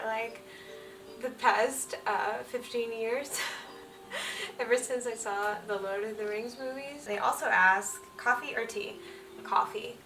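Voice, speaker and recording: A woman's voice: mid-range voice, fairly bright tone, far from the mic, rough recording. A young woman whose first language is Finnish, chatting casually and laughing lightly as she answers viewer questions. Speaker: illustrator vlogger. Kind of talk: casual Q&A chat. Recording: voice set back from the mic, music underneath.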